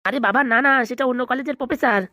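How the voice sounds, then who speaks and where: A woman's voice: sits high, very fast, bright, forceful tone. A cowardly hospital swindler in a comedy cartoon played by a woman, talking at breakneck speed. The comes with evasive, pleading chatter, in a dry, echo-free sound. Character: shifty, cowardly hospital swindler, easily panicked. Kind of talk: fast cartoon comedy dialogue.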